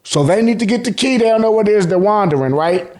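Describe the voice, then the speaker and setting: Male host, American accent, high voice, very lively intonation, slangy casual commentary, colloquial storytelling. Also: fast talker. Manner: colloquial, animated storytelling, acts out reactions. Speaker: YouTube host recapping manga.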